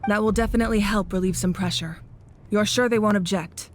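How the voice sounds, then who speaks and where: A woman's voice: mid-range voice, steady tone, fairly quick, slight background noise, close to an everyday voice. A woman voicing a resolute leader of a warrior nation in a video game, composed, formal and authoritative. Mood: steady, considerate.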